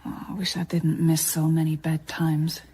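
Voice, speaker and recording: A low, mellow female voice from an actress as a dogged reporter and working mother in a radio drama. She is wry and conversational, like someone telling her own story. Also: flat delivery, moderate pace, slight background noise, close to an everyday voice. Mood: tired, chatty. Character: dogged woman freelance journalist and tired mother. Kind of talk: radio drama acting with first-person narration.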